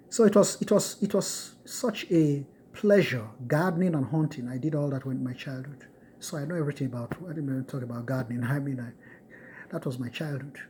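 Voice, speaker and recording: A man's voice: mid-range voice, darkish tone, a little hiss or hum. A middle-aged man from Nigeria giving an emphatic, repetitive motivational lecture to his students.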